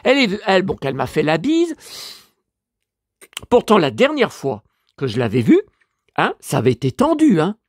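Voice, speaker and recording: A man's voice: sits high, wildly swooping pitch, normal speed, pauses often, slightly rough, no room sound, clean studio sound. A man with a stuffy head cold, rambling through a casual vlog monologue and complaining in exasperation. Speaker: vlogger and collector.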